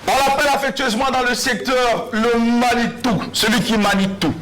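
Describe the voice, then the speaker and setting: A man's voice: very high voice, fairly even intonation, normal speed. A man with a Burkinabé accent hosting a TV talk show, introducing and questioning a guest in a playful, theatrical way. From Burkina Faso. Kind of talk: animated guest introduction and interview. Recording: TV studio with audience noise.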